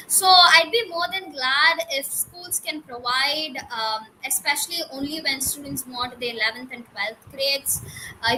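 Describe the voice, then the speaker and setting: A woman's voice: sits high, bright, forceful tone, slight background noise, rough recording. A girl in her early teens giving an earnest answer as a student panelist, thinking aloud with some hesitation.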